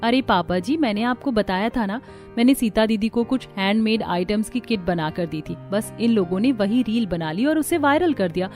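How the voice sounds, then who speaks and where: A woman's voice: mid-range voice, expressive, normal speed, a little mellow, soft background music, natural voice. A woman voicing a sweet, dutiful young housewife daughter-in-law in a cartoon. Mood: explaining.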